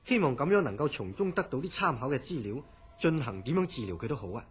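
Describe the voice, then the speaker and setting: An actor playing a grave, courteous mental hospital director in a Cantonese horror radio drama, speaking deliberately and probingly in a husky voice with a Hong Kong accent. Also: male voice, medium pitch, big pitch swings, soft background music, natural voice.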